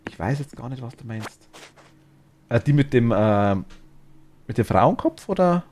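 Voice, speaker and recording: A man's voice: mid-range voice, animated, a little slow, a little mellow. A man with a Bavarian accent chatting casually and enthusiastically while streaming a game, laughing easily.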